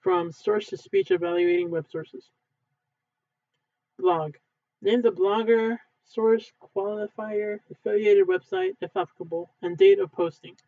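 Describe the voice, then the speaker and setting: A man reading a textbook aloud in a halting way, stumbling and correcting himself as he goes. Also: fairly even intonation. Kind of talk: unedited read-aloud of a textbook. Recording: thin phone-like low-fidelity sound.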